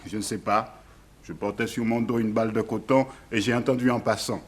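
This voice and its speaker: A man's voice: on the low side, steady tone, brisk, lightly acted. A man playing a servile, naive and overworked plantation labourer in a 1960s French radio drama. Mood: plain, submissive.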